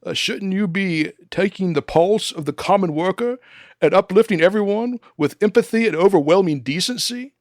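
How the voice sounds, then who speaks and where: A man acting as an earnest, decent and patient deputy executive in a comic radio drama, polite and gently corrective. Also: sits high, moderate pace, no echo, studio quality.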